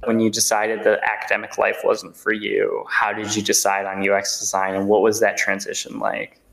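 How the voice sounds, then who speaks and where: Gravelly man hosting in an echoey room. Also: lowish voice, completely flat delivery, normal speed, fairly bright tone. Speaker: podcast host. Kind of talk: interview questions. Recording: roomy echo.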